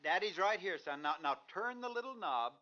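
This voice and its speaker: A man's voice: sits high, expressive, moderate pace, sharp tone. An actor in a 1950s radio drama playing a strained, impatient father who urgently coaxes his small son. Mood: coaxing. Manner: urgent coaxing, exasperated. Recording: dull, lo-fi 1950s radio broadcast.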